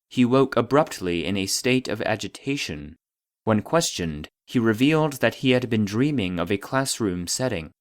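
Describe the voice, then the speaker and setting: A man voicing an impersonal database, reading formal classified reports in a measured, clinical manner. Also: fairly low pitch, no echo. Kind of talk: scripted narration of a formal report.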